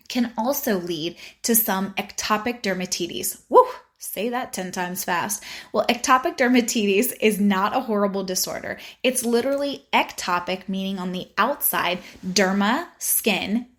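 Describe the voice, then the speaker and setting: A woman's voice: mid-range voice, unhurried, a bit bright. A woman with a Spanish accent hosting a podcast, explaining health topics in an upbeat way with playful asides. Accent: Spanish-accented English. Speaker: podcast host. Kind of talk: informative podcast monologue.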